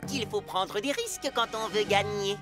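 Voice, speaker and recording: An actor playing a sly, smug, needling troublemaker in an animated cartoon, with an insinuating manner. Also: medium pitch, moderate pace, over music, close to an everyday voice. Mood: scheming.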